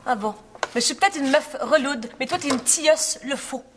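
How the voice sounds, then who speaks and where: A woman's voice: high voice, fairly quick, background noise, close to an everyday voice. An actress playing a skeptical, teasing woman with a Quebec French accent and a blunt, mocking way of talking. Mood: teasing, annoyed.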